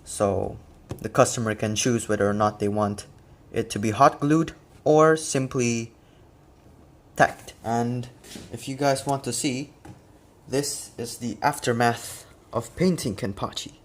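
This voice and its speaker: A man's voice: mid-range voice, measured pace, slight background noise. Casual man walking through a custom figure. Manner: relaxed, explains step by step with fillers. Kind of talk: casual product walkthrough.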